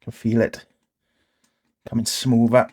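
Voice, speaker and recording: A man's voice: medium pitch, monotone, takes it slowly, darkish tone, husky. A man hosting a repair video, narrating casually in short muttered remarks to himself as he works with his hands.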